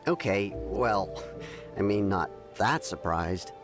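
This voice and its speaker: A man's voice: lowish voice, animated, takes it slowly. A male actor voicing a casual townsperson in a video game, offhand and wry. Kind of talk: scripted video game dialogue. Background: game music.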